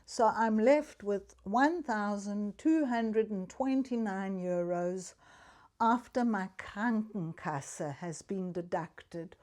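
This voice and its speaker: Slow-talking older woman. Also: medium pitch, darkish tone. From South Africa. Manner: chatty and candid, talks frankly about money. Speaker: retiree vlogger. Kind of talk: casual personal vlog.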